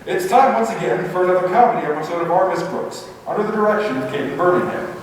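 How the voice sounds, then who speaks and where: A man's voice: high voice, fast talker. A man speaking as a formal, showmanlike old-time radio announcer to a live audience. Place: live stage before an audience. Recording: echoey hall, distant mic, faint noise.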